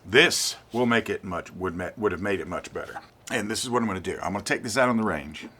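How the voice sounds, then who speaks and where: An older man giving an informal gear review as a channel host, speaking deliberately and repeating words for emphasis. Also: low-pitched, lively intonation, pushed and bright, breathy edge. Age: 50s or older.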